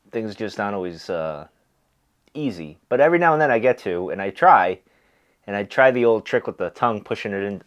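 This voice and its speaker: A man with a stuffy, nasal voice, chatting casually with lots of fillers and restarts. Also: fairly low pitch. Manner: rambling, easygoing, many fillers and restarts. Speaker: pipe smoking video host. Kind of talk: casual chat.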